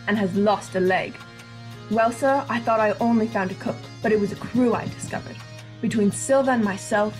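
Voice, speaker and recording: A woman playing a pompous, excitable country squire in a radio play, with emphatic old-fashioned diction. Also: medium pitch, natural voice, poor audio.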